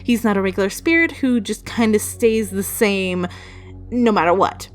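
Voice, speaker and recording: A woman's voice: mid-range voice, normal speed. A woman telling personal stories casually in a chatty, rambling, playfully emphatic way. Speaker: storytelling video creator. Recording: music behind the voice. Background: background music.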